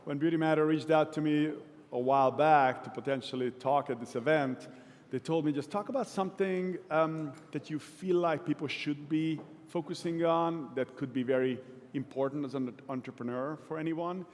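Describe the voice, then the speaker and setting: A man from Hungary in his forties, giving a relaxed, self-deprecating conference talk to a live audience. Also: fairly high pitch, moderate pace. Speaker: keynote speaker. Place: large event hall. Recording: long hall reverb.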